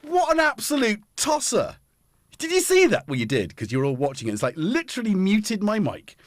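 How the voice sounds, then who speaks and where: A man chats his way through a livestream from his home studio, jokey and easily sidetracked. His pitch swings around a lot. The sound is close and dry with no echo. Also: wildly swooping pitch, moderate pace. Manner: rambling, self-interrupting, jokey. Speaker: livestream host. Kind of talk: casual livestream chat.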